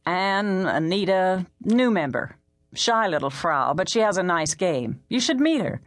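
A woman voicing a breezy, blunt woman friend in an audiobook story, with a flippant, brisk manner. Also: lowish voice, very animated, no room sound, clean studio sound. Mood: breezy, teasing. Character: breezy, blunt, twice-married female friend.

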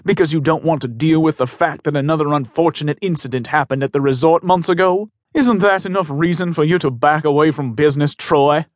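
A man's voice: fairly high pitch, brisk, few pauses, no room sound, studio quality, pitched above the performer's own voice, lightly acted. A man reading aloud and voicing a bitter, threatening grieving father. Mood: menacing. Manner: menacing, scornful.

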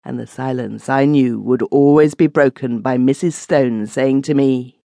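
A male audiobook narrator reads an old ghost story in measured, literary phrasing. His voice sits at a middling pitch. The sound is dry, with no room around it. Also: gravelly, mellow, no echo.

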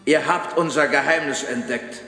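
A man's voice: on the high side, moderate pace, sharp tone, reverberant, in a large hall, soft background music. An actor playing a sinister, domineering cult leader who threatens his captives in a radio play. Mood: menacing. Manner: menacing, commanding, mocking laughter.

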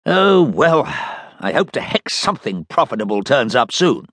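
男性が演じる 尊大で気取った詐欺師の紳士. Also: male voice, mid-range voice, sing-song, normal speed, a bit bright, slightly rough, dry recording, voice pushed up. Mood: blustering. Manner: plummy officer-like bluster. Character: pompous conniving male con man garage owner.

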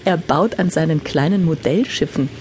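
Actress for chatty middle-aged wife in an audio drama, low register, street noise behind. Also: female voice, moderate pace, close to an everyday voice. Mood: chatty, fondly complaining. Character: chatty wife of a newly retired man. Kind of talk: conversational audio drama acting. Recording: noisy street ambience behind.

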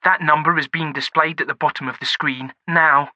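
A man's voice: a little high, steady tone, normal speed, no room sound, highs cut off, close to an everyday voice. A male audiobook narrator voicing a polite female TV news reporter with a slight shiver, in a formal broadcast manner. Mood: formal newsreading.

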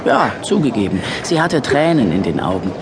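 A man's voice: mid-range voice, very animated, moderate pace, background noise. A man acting a wry, resentful young narrator looking back on boyhood in a scripted audio drama.

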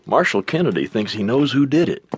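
An actor playing a big, talkative livery stable owner in a western audio drama, with a folksy, colloquial way of talking. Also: male voice, medium pitch, big pitch swings, fairly quick, husky, no echo. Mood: curious, gossipy.

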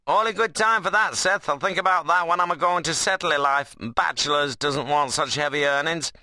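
A man's voice: fairly high pitch, a little fast, sharp tone, no room sound, pitched above the performer's own voice. A man voicing a wiry, sarcastic young workman in an audiobook novel, mocking and needling in a dialect. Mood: teasing, sarcastic.

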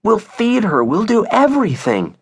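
A man voicing an excitable young girl with an eager, emphatic, childlike manner, as in a storybook. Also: very high-pitched, slow, no echo, voice pushed up, heavily acted. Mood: pleading.

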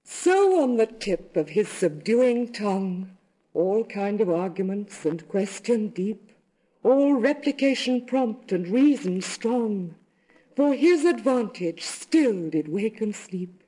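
Jilted young maiden, sorrowful; classical verse drama, unhurried, lyrical delivery, soft dark tone. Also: female voice, medium pitch, very animated, poor audio. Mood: reflective. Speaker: actor reading a narrative poem.

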